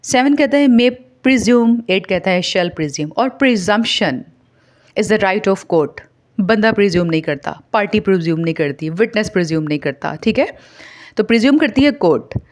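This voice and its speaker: A woman with a Pakistani accent giving an explanatory lecture in a didactic way, mixing Urdu and English and slowing down to read aloud. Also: medium pitch, expressive. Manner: didactic, code-switches between Urdu and English. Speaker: law lecturer. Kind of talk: explanatory exam lecture, alternating slow read-aloud with commentary.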